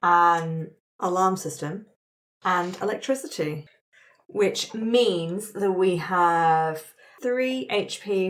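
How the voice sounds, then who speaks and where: A woman explaining game rules conversationally as facilitator, checking in with her group of players. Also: fairly low pitch.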